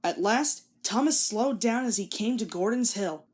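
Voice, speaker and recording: A woman's voice: medium pitch, lively intonation, moderate pace, a little mellow. A woman giving a scripted read-along narration of a children's story.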